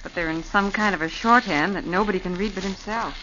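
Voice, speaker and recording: A woman's voice: medium pitch, a little fast, pushed and bright, lightly acted. An actress playing a practical woman assistant in a 1940s radio drama, matter-of-fact and reassuring. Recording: dull old radio recording with faint hiss.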